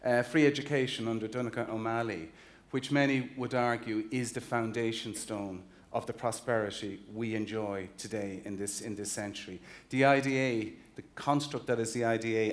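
A man in his fifties with an Irish accent, giving an earnest, emphatic conference keynote on a large convention hall stage, walking as he talks and often restarting phrases. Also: mid-range voice. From Ireland. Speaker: chief executive giving a keynote. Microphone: stage mic, walking the stage with a slide clicker.

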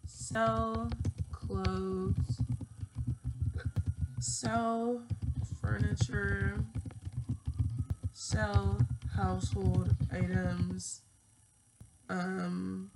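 Gravelly twenty-year-old woman rambling. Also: medium pitch, very noisy. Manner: rambling, profane, thinks aloud. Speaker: vlogger. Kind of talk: casual chat to camera. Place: small bedroom, indoors. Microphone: earphone mic, laptop webcam.

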